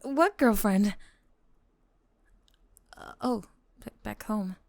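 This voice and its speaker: A woman playing a doting, possessive lamia college roommate in an ASMR roleplay, speaking softly and coaxingly, close to the microphone. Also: mid-range voice, animated, no echo. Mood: rattled, jealous. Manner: coaxing, doting, breathy pauses with sighs and soft giggles. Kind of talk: ASMR roleplay acting, spoken to the listener. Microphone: close microphone in a dry space.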